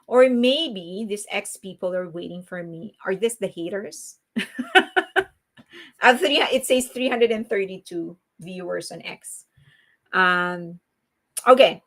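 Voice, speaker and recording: A woman's voice: mid-range voice, slow pace, pauses often, a bit bright. A woman with a Filipino accent hosting a live stream, chatting casually with her viewers, laughing often and restarting her sentences. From Philippines. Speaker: livestream host.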